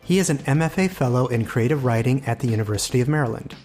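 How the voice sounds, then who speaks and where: A man's voice: mid-range voice, lively intonation, fast talker, darkish tone, faint music behind. A man hosting a literary podcast, reading scripted introductions and putting questions to a guest. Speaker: podcast host and editor.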